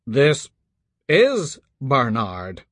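A man's voice: on the low side, expressive, unhurried, slightly rough, dry recording. A man voicing a bewildered, hesitant big man. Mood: nervous, helpless. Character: large nervous security man, bewildered, unsure. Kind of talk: audiobook character dialogue.

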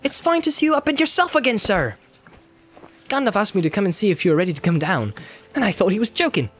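A man's voice: high-pitched, big pitch swings, fast talker, fairly bright tone, husky, faint music behind, pitched above the performer's own voice, exaggerated voice. A man reading aloud, voicing a young male hobbit servant who talks eagerly and deferentially.

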